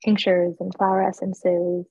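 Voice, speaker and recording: A woman's voice: lowish voice, monotone, a little slow, mellow, husky, no room sound, highs cut off. A woman from the East Coast who owns a flower shop, talking candidly in a casual interview with fillers and laughs. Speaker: florist and shop owner.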